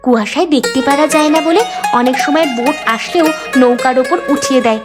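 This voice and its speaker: Cartoon role: actress as gentle boat-dwelling mother, highish plaintive voice, plucked-string music underneath. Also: a little fast, close to an everyday voice. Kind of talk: cartoon dialogue acting.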